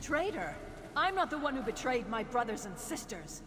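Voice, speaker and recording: A woman's voice: on the high side, very animated, normal speed. A woman voicing a bitter, ruthless female assassin in dramatic fantasy game dialogue. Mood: furious. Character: cold, betrayed female assassin turned ruthless. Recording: long hall reverb, clear noise.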